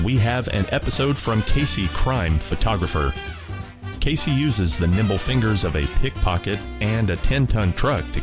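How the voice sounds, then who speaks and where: Radio host guy, kinda low voice, scripted intro, music behind.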